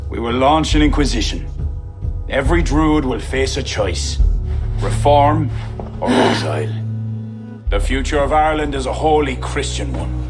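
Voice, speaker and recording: An actor playing a stern, hot-blooded High King in a video game, with a commanding, decisive delivery. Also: lively intonation, moderate pace, long pauses, close to an everyday voice. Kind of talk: dramatic video game cutscene acting. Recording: prominent music behind the voice.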